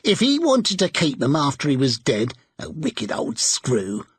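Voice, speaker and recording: A man voicing a bold, defiant charwoman with a shrill, scornful manner. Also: huge pitch swings, measured pace, slightly rough, no echo, heavily acted. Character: bold defiant charwoman, brash older woman.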